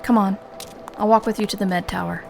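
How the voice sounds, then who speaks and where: Gravelly actress as a warm, breezy artist. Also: female voice, medium pitch, steady tone, a little fast, a little hiss or hum, close to an everyday voice. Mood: concerned, warm. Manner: familiar, affectionate. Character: warm, breezy slender woman artist greeting her friend. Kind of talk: audio drama acting.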